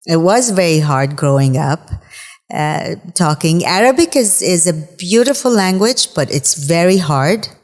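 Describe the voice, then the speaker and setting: A woman from Bahrain whose first language is Farsi, speaking as an interview guest in a reflective, halting way with many fillers. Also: fairly low pitch, lively intonation, darkish tone, long reverb tail. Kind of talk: conversational interview.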